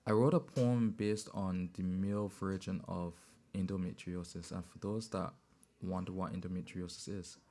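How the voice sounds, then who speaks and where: A man, a poet guest on a radio show, telling his story in a measured way with many pauses and ums. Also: low voice, steady tone. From Barbados. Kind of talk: relaxed interview talk. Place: radio studio. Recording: loud music bed behind voice. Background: music.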